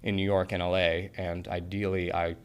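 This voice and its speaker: A man's voice: low voice, little pitch movement, moderate pace, clean tone. A young man in his twenties from Portland, talking earnestly about acting school in an interview, rambling with fillers and restarts. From Portland, Oregon. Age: 20s. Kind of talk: casual interview answers.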